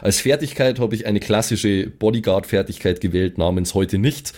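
A man's voice: fairly low pitch, normal speed, a little mellow. A man casually explaining his tabletop role-playing character as a player on a podcast.

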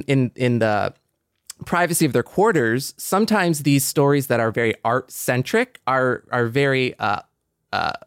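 A man's voice: medium pitch, no room sound, clean studio sound. A man co-hosting a podcast, giving conversational commentary. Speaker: podcast co-host.

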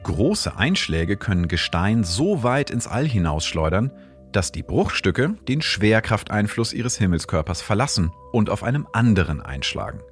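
Deep, lively science explainer, male. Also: moderate pace, soft background music. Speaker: podcast host and astrophysicist. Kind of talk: scripted science narration.

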